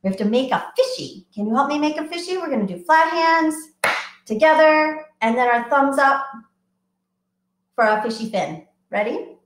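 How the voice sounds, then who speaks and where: A woman runs a children's storytime, reading aloud in a bright, animated sing-song that keeps inviting little listeners to join in. Her voice sits a touch high for a woman, and the sound rings in a roomy, echoey space. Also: moderate pace. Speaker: children's librarian.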